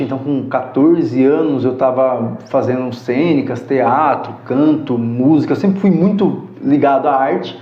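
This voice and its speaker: Chatty man telling stories. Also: fairly high pitch. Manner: chatty, laughs often, acts out quoted dialogue. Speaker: podcast guest. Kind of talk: casual storytelling. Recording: echoey room, slightly dull sound.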